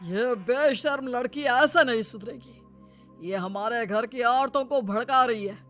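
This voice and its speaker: A woman's voice: fairly high pitch, a little slow, fairly bright tone. A woman voicing a stern, controlling father-in-law who scolds with authority in a moral story. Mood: stern, indignant. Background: music.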